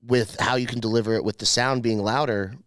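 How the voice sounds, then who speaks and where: A man's voice: mid-range voice, fairly even intonation, a little fast, fairly bright tone, no room sound, clean studio sound. A young man hosting a podcast as a comedian, chatting casually with a big, emphatic, profane voice.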